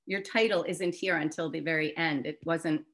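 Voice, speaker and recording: A woman's voice: deep voice, steady tone, normal speed, a bit bright. A woman hosting an online book discussion in a casual, chatty and enthusiastic way. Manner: enthusiastic, informal. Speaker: host of an online photobook discussion. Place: online video call.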